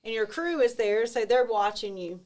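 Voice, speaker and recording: A woman's voice: on the high side, expressive, normal speed, a little mellow. Woman hosting an interview podcast. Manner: friendly, thinks aloud while framing questions. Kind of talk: conversational interview questions.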